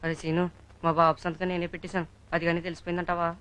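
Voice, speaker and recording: A man's voice: fairly high pitch, monotone, very fast, fairly bright tone, clean tone, close to an everyday voice. A male performer playing a cheeky, mischievous classroom pupil in comic film style. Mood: uneasy.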